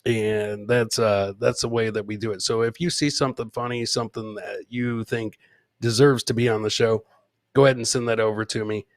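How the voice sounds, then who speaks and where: A man's voice: lowish voice, steady tone, moderate pace, no room sound. Casual male podcast host with dry sound. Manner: informal, swearing, filler-heavy. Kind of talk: casual live comedy chat, reading and answering viewer comments.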